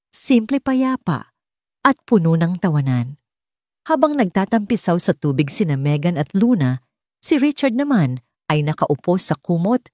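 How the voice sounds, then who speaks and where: A woman's voice: lowish voice, dark tone, no echo, clean studio sound. A woman with a Filipino accent reading a scripted romance story as an audiobook narrator.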